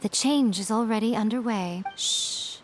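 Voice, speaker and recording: A woman's voice: fairly high pitch, unhurried, slight background noise, close to an everyday voice. A woman playing a sly, self-assured fox shrine maiden in a video game, teasing, composed and knowing. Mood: sly, amused.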